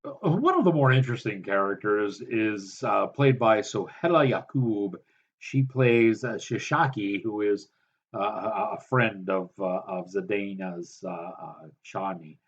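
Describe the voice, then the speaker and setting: A man reviewing a film in a sardonic, halting way, with many fillers. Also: fairly low pitch, slightly rough, muffled recording. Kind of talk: casual film review commentary.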